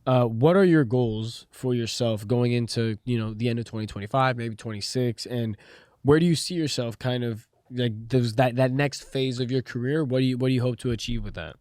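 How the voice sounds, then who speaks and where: A man's voice: mid-range voice, brisk, a little mellow, no echo, studio quality. A man co-hosting a podcast, putting questions to a guest in a casual, conversational way. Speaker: podcast co-host. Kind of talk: casual interviewing.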